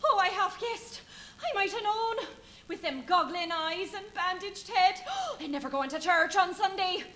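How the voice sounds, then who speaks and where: Aubergiste hystérique, voix très aiguë. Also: female voice, very high voice, normal speed, a bit bright. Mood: hysterical, fearful. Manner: rustic, exclamatory. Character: superstitious, indignant village innkeeper woman, prone to hysterics. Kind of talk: live radio play acting.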